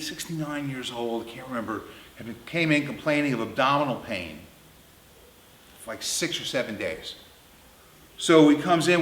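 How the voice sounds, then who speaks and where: A man's voice: mid-range voice, bright, forceful tone. A man in his fifties telling comic anecdotes in a blunt, crude, emphatic way. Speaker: storyteller. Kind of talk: comedic anecdote storytelling. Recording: roomy, echoing indoor sound.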